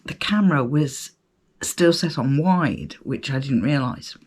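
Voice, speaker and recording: Croaky woman, slow chatty podcast talk. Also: very deep voice, lively intonation, a little mellow. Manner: chatty, rambling, self-deprecating, with fillers and laughs. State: croaky throat from a cough. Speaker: podcast host. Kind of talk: solo casual podcast chat.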